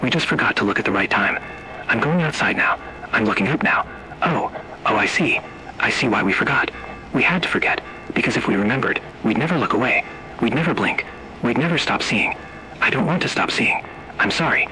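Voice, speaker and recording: A man's voice: mid-range voice, lively intonation, a little fast, bright, forceful tone, rough recording. A man voicing an entranced male teacher in an analog horror story, speaking in rhythmic, insistent, awestruck short sentences. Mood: awestruck dread.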